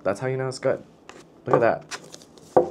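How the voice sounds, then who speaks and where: A man's voice: mid-range voice, measured pace, over loud music. A man talking casually to camera as a book vlogger, playful and emphatic, often repeating a phrase for effect.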